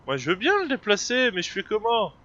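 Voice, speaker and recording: A man's voice: high voice, wildly swooping pitch, fairly quick, sharp tone, no echo, muffled recording. A man with a head cold talking through a video game, hesitant and full of fillers, puzzling over what happens. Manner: hesitant, many fillers and restarts. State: has a cold. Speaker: gameplay video creator. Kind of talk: casual gameplay commentary. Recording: noisy home recording.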